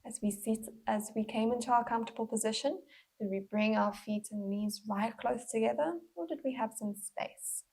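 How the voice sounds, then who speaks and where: A woman with a fairly high, husky voice that often drops into creak. She guides a mindful body scan gently, leaving unhurried pauses between short, breathy phrases. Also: low-quality recording. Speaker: mindful movement instructor.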